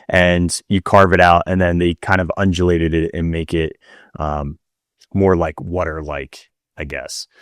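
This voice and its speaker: A man's voice: sits low, fairly bright tone, clear, resonant tone, no room sound, clean studio sound. A man co-hosting a podcast in casual chat, with an informal, wryly griping manner. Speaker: podcast co-host.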